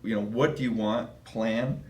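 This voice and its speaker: A man's voice: lowish voice, fairly even intonation, measured pace. A man in his twenties talking casually and emphatically as an interview guest, in an echoing conference room. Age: 20s. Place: small office conference room. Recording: roomy, echoing office.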